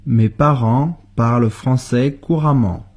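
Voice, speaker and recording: Slow, husky man modelling sentences. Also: mid-range voice, a little mellow, dull audio. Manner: reads each sentence slowly and clearly, then repeats it. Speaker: co-host of a language lesson.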